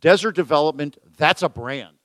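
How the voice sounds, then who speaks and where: Man, radio host, joking and self-deprecating, slightly high voice, dry close mic. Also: normal speed, a bit bright, dry recording. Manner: jokey, self-deprecating, chatty. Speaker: radio talk show host. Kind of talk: casual chat. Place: event venue with photographers.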